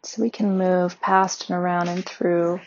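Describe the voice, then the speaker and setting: A woman leading a guided meditation with slow, soothing, gentle instructions. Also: lowish voice, level tone, lo-fi sound.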